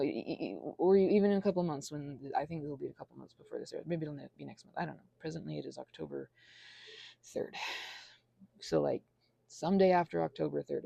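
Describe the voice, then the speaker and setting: Husky, low-voiced woman rambling confessionally. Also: warm tone, no room sound, dull audio. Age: 30s. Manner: halting, self-deprecating, earnest. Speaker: ASMR channel creator. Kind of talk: rambling confessional ASMR monologue.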